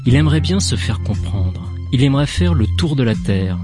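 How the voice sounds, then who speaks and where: A male radio host with a mid-range voice, reading in a measured, lyrical way. A clear music bed plays under his words. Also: mid-range voice, big pitch swings. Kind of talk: scripted introduction and interview.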